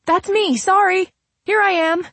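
Audiobook character, female reader: eager young woman, high-pitched, urgent, pleading, persistent. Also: big pitch swings, a little slow, a little mellow, husky, no echo, full character voice. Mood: excited.

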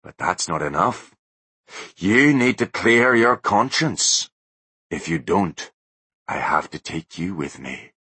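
A man voicing a hulking older bodyguard who plays a ghost, speaking low, hushed and very slow. The tone is breathy and menacing. It sounds like a close, dry storybook reading. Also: lively intonation, no room sound, exaggerated voice. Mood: stern. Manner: slow, quiet, ominous.

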